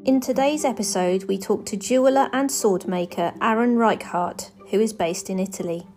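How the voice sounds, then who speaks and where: A woman hosting a podcast, introducing and interviewing in a clear presenting role. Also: medium pitch, normal speed, a little mellow, faint music behind.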